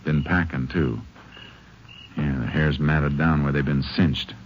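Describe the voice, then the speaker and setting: Very deep-voiced, terse Texas Ranger. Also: male voice, steady tone, unhurried. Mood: calm, businesslike. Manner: terse, plain-spoken. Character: steady, firm Texas Ranger lawman. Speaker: lead of the show, also narrating. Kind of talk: 1950s radio western acting and narration. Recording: muffled old radio recording, faint hiss.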